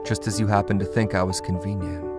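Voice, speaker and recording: Man playing weary reserved male, deep voice, terse, self-effacing, anime dub, prominent music underneath. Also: steady tone, fast talker. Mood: detached. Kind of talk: anime fan dub acting.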